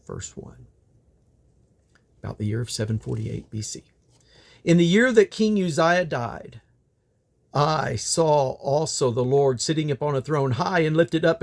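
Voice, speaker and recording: A man with a creaky, gravelly voice reads the Bible aloud in a deliberate, solemn way, phrase by phrase. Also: a little high. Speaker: Bible reader. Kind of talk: scripture reading aloud.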